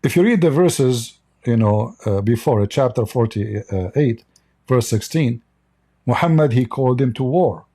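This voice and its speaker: A man whose first language is Arabic talks in English with a dark, mellow tone and a husky edge. He is blunt and scornful, and he often stumbles over his sentences and repeats words. He delivers an off-the-cuff commentary monologue. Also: medium pitch, expressive, measured pace. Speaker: online commentator.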